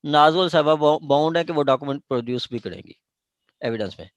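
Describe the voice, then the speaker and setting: A man with a Pakistani accent explaining law as a lecturer, haltingly repeating and rephrasing his points and checking that students follow. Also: a little high, no room sound, dull audio.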